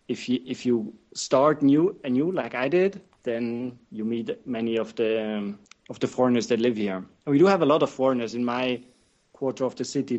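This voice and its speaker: A man's voice: medium pitch, mellow. A man raised in Switzerland and speaking in a language other than his native German gives a reflective, lengthy account as an interview guest. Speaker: interview guest, game master. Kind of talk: casual conversation. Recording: muffled lo-fi audio.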